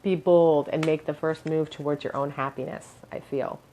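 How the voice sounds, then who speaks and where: A woman giving a pick-a-card tarot reading in a musing tone, her voice a little hoarse and scratchy, often clearing her throat. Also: low voice, steady tone, normal speed, warm tone, highs cut off. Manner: musing, hedges with "I feel". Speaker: tarot reader.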